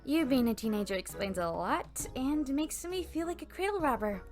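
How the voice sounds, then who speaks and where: A woman acting a high-voiced, sardonic young woman. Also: expressive, moderate pace, over music. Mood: dismissive, tired. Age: young adult. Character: guarded, sardonic young woman, reluctant to leave home. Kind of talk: audio roleplay drama.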